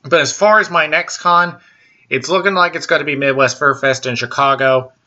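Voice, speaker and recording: A man hosting a casual solo show, talking slowly with lots of ums, uh's and restarts, in a baritone voice. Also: fairly even intonation, bright, forceful tone, dull audio. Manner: slow and halting, many ums and restarts. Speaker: podcast host.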